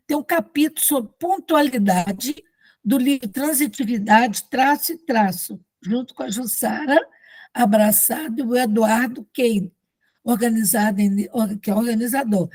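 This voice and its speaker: A woman's voice: fairly high pitch, no room sound. Brazilian woman reading a formal introduction. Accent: Brazilian Portuguese. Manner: reads a list of credits, with small slips and warm asides. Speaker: literature course host introducing a guest.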